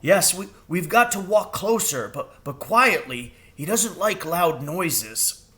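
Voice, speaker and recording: Man playing a jittery crow, storybook character, raised pitch, bright tone, stammering, deferential. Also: expressive, takes it slowly, exaggerated voice. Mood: hushed, eager. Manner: stammering, polite, hesitant. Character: nervous, deferential, eager young male crow.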